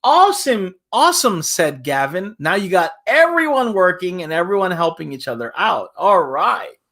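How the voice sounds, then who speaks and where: A man's voice: sits high, sing-song, normal speed, pushed and bright. A man hosting a children's story time, reading aloud expressively and explaining things casually in simple words. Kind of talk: animated read-aloud with casual recaps.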